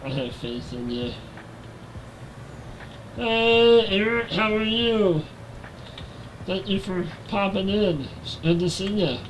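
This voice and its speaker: Nasal guy in his 40s on a headset, kinda high voice, takes his time, just chatting with chat while he games. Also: takes it slowly, pauses often, slight background noise, low-quality recording. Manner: relaxed, talks to chat while playing. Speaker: live-stream gamer. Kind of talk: casual live-stream chat while gaming.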